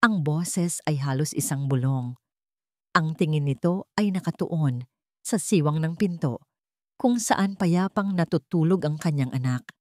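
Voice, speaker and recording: A woman's voice: sits low, a little slow, mellow, no room sound, studio quality. A woman narrating a scripted dramatic story as its storyteller.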